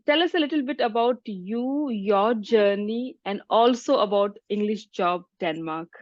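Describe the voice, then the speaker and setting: A woman's voice: medium pitch, measured pace, no echo, dull audio. A woman from India, hosting an interview in a friendly manner with some hesitant fillers. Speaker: YouTube host, interviewer.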